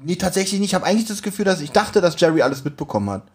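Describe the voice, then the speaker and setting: A man's voice: high voice, sing-song, racing, husky. A man, co-hosting a podcast in casual chat and retelling a story. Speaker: podcast co-host.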